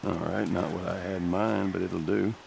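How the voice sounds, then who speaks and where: An actor voicing a weary, gruff male survivor who talks tersely and keeps watch over a girl. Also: low-pitched, steady tone, normal speed, darkish tone. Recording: noisy game audio.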